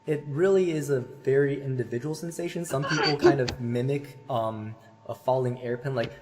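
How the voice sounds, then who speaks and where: A man, a voice teacher on a video call through a headset, explaining singing technique with many fillers and pauses. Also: mid-range voice, noisy background. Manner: thoughtful, hesitant with many fillers, demonstrates sung vowels.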